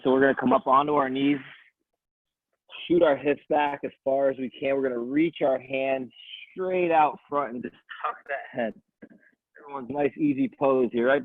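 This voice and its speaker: A man coaching an at-home stretching class, calmly counting down holds and talking in a soothing voice. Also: medium pitch, normal speed, no echo. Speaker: fitness coach. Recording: tinny, dry phone-like audio.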